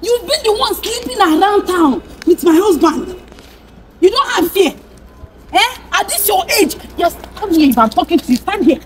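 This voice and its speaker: Very shrill, furious Nigerian wife, acted by a woman. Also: lively intonation, moderate pace. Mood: scolding, menacing. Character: furious, threatening wronged wife confronting husband's mistress. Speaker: actor in a drama skit. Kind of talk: heated comedy-drama skit acting. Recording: noisy roadside location.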